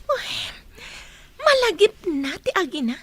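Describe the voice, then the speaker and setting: A woman acts an anxious, devoted woman in a Filipino audio drama. Her voice is extremely high and swings widely, with an emotional, pleading manner. The sound is close and dry. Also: sing-song, a little slow, fairly bright tone, no room sound, full character voice. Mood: worried, hurt.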